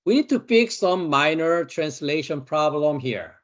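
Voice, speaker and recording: A man with a Korean accent, a Bible teacher giving a study lecture, slowly and emphatically repeating key words. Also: on the high side, animated, highs cut off.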